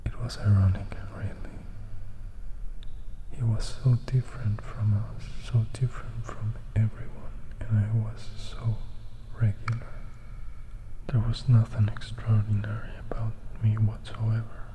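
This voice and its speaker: A man's voice: fairly low pitch, level tone, a little slow, natural voice, rough recording. A man voicing a melancholy, composed vampire who recounts his past in a reflective, whispered storybook reading. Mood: wistful, confiding. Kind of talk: whispered ASMR audiobook reading.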